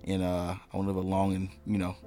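A man's voice: low-pitched, completely flat delivery, quick pace, warm tone, faint music behind. A man hosting a podcast, chatting casually and agreeably with his guest, often saying yeah and you know. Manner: agreeable, frequent affirmations and fillers. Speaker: podcast host.

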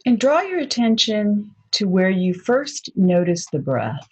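A woman leading a guided meditation in a soft, unhurried, gently inviting way, on a dull lo-fi recording. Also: medium pitch, big pitch swings, slightly rough.

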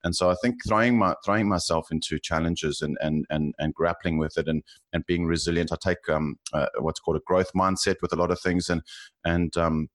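Deep-voiced South African man. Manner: hesitant, many ums and restarts. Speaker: podcast guest.

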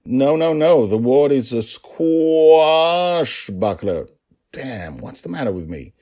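Storybook dragon dad played by a man, doting, mock-bewildered, husky, highly animated, teasing emphasis. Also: a little slow, exaggerated voice. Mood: mock insistent. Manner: exaggerated, teasing emphasis on words.

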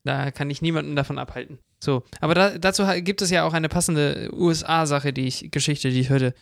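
A man's voice: fairly high pitch, a little fast, creaky, no room sound, clean studio sound. A man co-hosting a podcast in casual chat. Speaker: podcast co-host.

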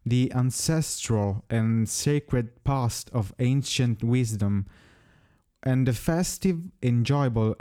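A man from Italy, a native Italian speaker, talking slowly and carefully as an interview guest. His voice is smooth and resonant with a dark, mellow tone. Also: mid-range voice. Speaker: musician guest. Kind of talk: prepared interview answers.

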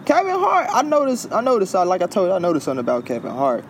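A man's voice: high voice, wildly swooping pitch, rapid-fire, a little hiss or hum. A man co-hosting a podcast, chatting casually and agreeably, often echoing what his co-host says. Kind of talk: casual chat.

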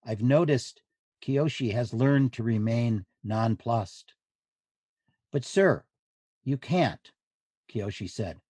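A man giving a literary reading of diary entries in measured, deliberate phrases. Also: mid-range voice, expressive, mellow, slightly rough, no echo.

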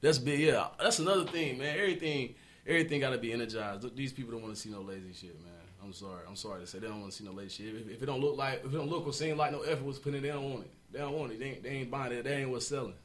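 A man, a guest rapper chatting casually in an interview, energetic and repeating himself, laughing often. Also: rapid-fire. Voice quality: deep voice.

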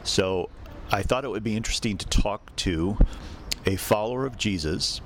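Male podcast interviewer, low register, halting conversational delivery, slight background noise. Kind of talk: conversational spoken introduction and interview.

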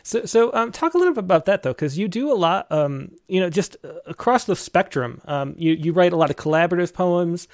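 A man hosting an interview, asking questions in a halting way with many ums. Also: high voice, lively intonation, no echo, studio quality. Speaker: interview host. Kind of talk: casual interview.

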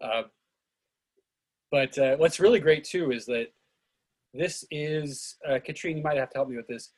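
Husky man hosting an informal discussion. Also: a little high, darkish tone. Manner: halting, digressive. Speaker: actor and director hosting a play reading. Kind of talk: casual discussion.

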